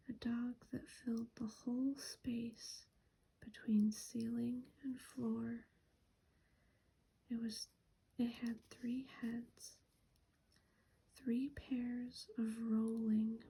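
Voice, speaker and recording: A woman reading a children's story aloud in a breathy whisper. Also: a little high, warm tone, rough recording. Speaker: reader.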